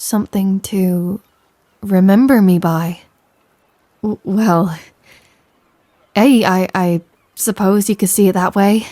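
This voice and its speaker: A woman's voice: mid-range voice, steady tone, slow, pauses often, mellow, no echo, lightly acted. A woman playing a tender but commanding female pirate captain in an intimate audio roleplay, with a stage pirate accent and teasing sailor slang. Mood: flustered, tender. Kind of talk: scripted roleplay audio, intimate second-person address.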